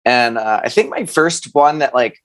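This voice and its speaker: Halting, casual man with a mid-range voice. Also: mid-range voice, expressive. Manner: halting, self-deprecating, conversational. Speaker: musician, podcast guest. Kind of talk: casual chat.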